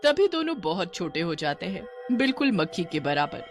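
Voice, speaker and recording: A woman narrating a cartoon horror story in an expressive storytelling manner. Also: on the low side, moderate pace, soft background music.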